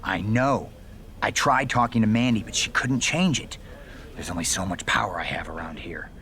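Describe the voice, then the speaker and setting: An actor playing a confident, scheming veteran wrestler in a video game cutscene, persuasive and conspiratorial, sometimes dropping to a near whisper. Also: male voice, lowish voice, lively intonation, moderate pace, sharp tone. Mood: regretful.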